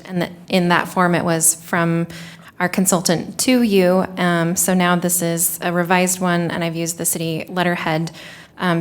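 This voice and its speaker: A lowish-voiced woman explains a program to a city commission in a public meeting. She talks in a measured, explanatory way and fills pauses with ums. She is speaking into a table microphone in a council chamber. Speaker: city staff presenting to a commission.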